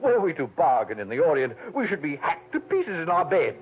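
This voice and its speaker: A man's voice: fairly high pitch, wildly swooping pitch, brisk, slight background noise. An actor playing a pompous, genteel, scheming male villain with florid, effusive phrasing in a 1940s radio drama. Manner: florid, effusive, chuckling, hesitant polite phrasing. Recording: tinny band-limited old radio recording.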